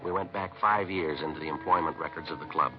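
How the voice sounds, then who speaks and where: Clipped, deadpan radio police detective. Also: male voice, very deep voice, quick pace. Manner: clipped, deadpan, matter-of-fact. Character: terse, dogged male police detective. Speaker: police detective narrator and lead. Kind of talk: 1950s radio crime drama acting. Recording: muffled vintage radio recording, music.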